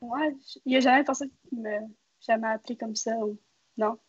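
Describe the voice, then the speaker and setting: Husky young woman, Québécois-like French accent. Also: fairly high pitch, steady tone, a little fast, darkish tone, no echo, muffled recording. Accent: Québécois-like New Brunswick French. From northwest New Brunswick, Canada. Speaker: guest. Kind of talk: casual conversational chat.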